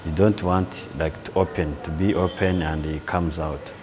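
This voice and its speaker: Deep-voiced man. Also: fairly even intonation, normal speed, over music. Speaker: sous chef. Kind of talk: interview answers. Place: indoor room with shelves, seated on camera.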